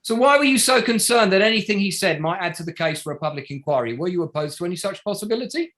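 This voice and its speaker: An actor playing a probing, sardonic barrister at a public inquiry in a play reading, formal and pointed, pressing with rhetorical questions. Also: male voice, high-pitched, lively intonation, quick pace, fairly bright tone. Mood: formal, accusing. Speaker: actor in an online table read. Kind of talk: courtroom-style cross-examination in a play reading.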